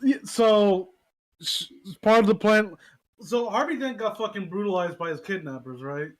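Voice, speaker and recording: A man's voice: high voice, normal speed, rough recording. A man co-hosting a casual chat in a blunt, incredulous way with plenty of swearing. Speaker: podcast co-host.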